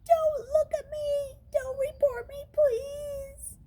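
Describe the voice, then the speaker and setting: A woman voicing a frightened twelve-year-old boy in a storybook, squeaky, stammering and pleading. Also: fairly even intonation, slow pace, warm tone. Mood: panicked pleading.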